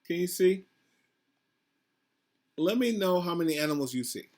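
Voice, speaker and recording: Casting: male principal doing a children's read-aloud, slightly raised pitch, conversational asides, questions to the listeners. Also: animated, moderate pace, a little mellow. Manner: addresses children directly, asks questions, repeats himself. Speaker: school principal reading aloud.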